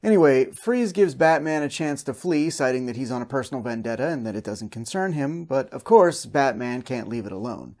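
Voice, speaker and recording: A man's voice: medium pitch, lively intonation, brisk, few pauses, a little mellow. A man hosting a recap and review show, delivering scripted comedic narration.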